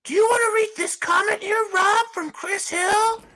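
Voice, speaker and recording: A man voicing a gushing woman host in falsetto on a comedic livestream, starting almost every line with "well". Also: steady tone, moderate pace, pushed and bright, heavily acted. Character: shrill fake woman hostess, gushing. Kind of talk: comedic livestream character bit.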